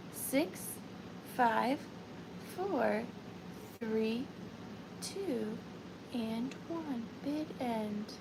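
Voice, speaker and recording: Slow, soft-spoken woman. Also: on the high side, noisy background. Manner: soft, slow and chatty, drifting into whispers. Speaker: live sale host. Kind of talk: live auction selling chat. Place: home studio with ring light.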